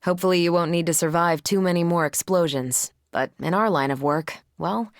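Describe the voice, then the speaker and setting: A woman's voice: fairly low pitch, a little fast, no echo, clean studio sound, lightly acted. An actress voicing a confident, wry female superhero with an American accent in a video game, self-assured and conversational. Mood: warm, sincere. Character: confident, wry adult female superhero pilot, warm but tough. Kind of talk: video game character voice lines.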